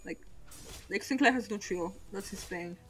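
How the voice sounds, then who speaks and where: A woman's voice: mid-range voice, moderate pace, fairly bright tone, faint music behind. A young woman from Poland with a Polish accent, speaking English as a second language and chatting casually while streaming a game, rambling and self-mocking. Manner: rambling, self-mocking, laughs often between thoughts. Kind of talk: casual chat over gameplay.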